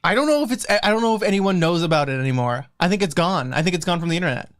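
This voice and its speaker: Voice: mid-range voice, expressive, rapid-fire. A livestream co-host chatting casually, rambling and often repeating phrases.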